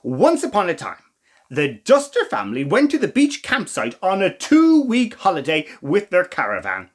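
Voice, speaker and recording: A man reading a children's story as a storytime host, with expressive, theatrical emphasis and asides to the listeners. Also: high voice, normal speed. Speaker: storytime reader.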